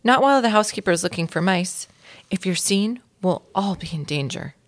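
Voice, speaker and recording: A fretful mother mouse from a storybook, played by a woman reader, pleads with great drama. The voice lifts above the reader's low register and presses urgently. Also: expressive, brisk, natural voice. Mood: alarmed, pleading.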